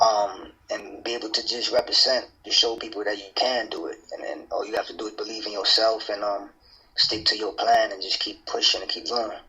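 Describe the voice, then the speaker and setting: Raspy, forceful man on muffled audio. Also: lowish voice, little pitch movement, brisk. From New Jersey, United States. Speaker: interview guest, actor. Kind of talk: casual conversational interview. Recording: dull, muffled lo-fi sound.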